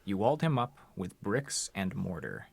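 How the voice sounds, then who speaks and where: Radio drama role: mysterious questioner, creaky and raspy, insistent, hugely varied intonation. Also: male voice, sits low, big pitch swings, normal speed, a little mellow, heavily acted. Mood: probing. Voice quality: gravelly, husky. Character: ominous, probing male interrogator, mysterious and controlled.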